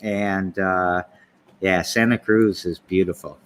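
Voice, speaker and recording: A man's voice: low-pitched, steady tone, takes it slowly, slight background noise. A man hosting a casual interview podcast, talking in a loose, rambling way with many fillers and restarts. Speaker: podcast host. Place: indoor sanctuary room of a venue.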